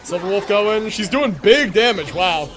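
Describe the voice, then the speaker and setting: A man's voice: high-pitched, animated, unhurried, over music. A man livestreaming a game, commenting casually and answering chat, slangy and sweary as he thinks aloud.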